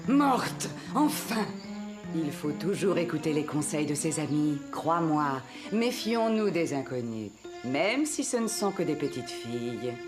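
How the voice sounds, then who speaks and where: A woman's voice: deep voice, sing-song, measured pace. A woman voicing a vain, cruel witch queen with a theatrical, imperious manner in a dubbed cartoon. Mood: gloating triumph. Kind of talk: dubbed fairy-tale cartoon acting. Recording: echoing villain voice with music behind.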